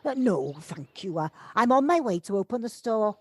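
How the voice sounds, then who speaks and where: A woman acting a brisk village shopkeeper in a home-recorded audio play. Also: mid-range voice, very animated. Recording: home recording on personal device, faint noise.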